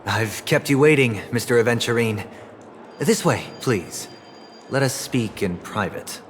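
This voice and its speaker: A man voicing a composed, authoritative young leader in a video game, speaking in a formal, measured way. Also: medium pitch, lively intonation, slight background noise, natural voice.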